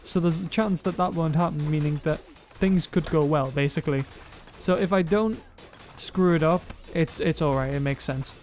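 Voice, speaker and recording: A young man with a self-described monotone voice, rambling through gameplay commentary and viewer comments, often correcting himself mid-sentence. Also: a little high, moderate pace, few pauses, a little hiss or hum. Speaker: gaming YouTuber.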